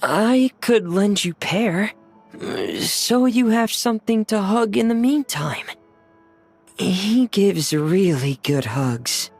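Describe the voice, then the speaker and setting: An actress playing a gentle, earnest, helpful young woman in a video game, with a deferential manner. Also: medium pitch, expressive, slow pace, soft background music.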